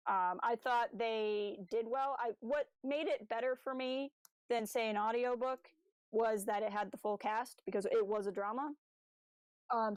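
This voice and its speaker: A woman's voice: a little high, clean tone, no room sound. A young adult woman co-hosting a podcast, talking informally and with some self-deprecation in casual discussion. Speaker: podcast co-host.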